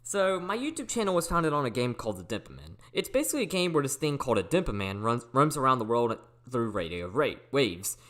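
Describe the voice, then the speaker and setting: Teen guy doing scripted storytime narration, talks quick, super up and down pitch, speaks up clear. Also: sing-song, fairly bright tone. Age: teens, almost seventeen. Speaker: YouTuber telling personal stories. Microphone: recorded at a computer or phone.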